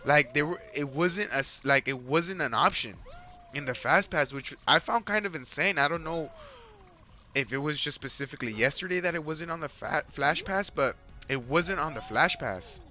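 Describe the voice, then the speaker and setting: A man's voice: fairly high pitch, lively intonation, moderate pace, sharp tone. A young man with a Mexican accent, chatting casually to viewers during a live game stream, rambling and repeating himself, full of "like" and "you guys.". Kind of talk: casual live-stream chat while gaming. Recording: faint music underneath.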